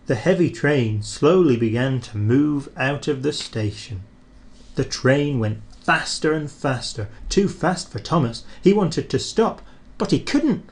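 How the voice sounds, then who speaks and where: A man's voice: medium pitch, measured pace. Man reading a children's story aloud. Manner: expressive, animated storybook narration.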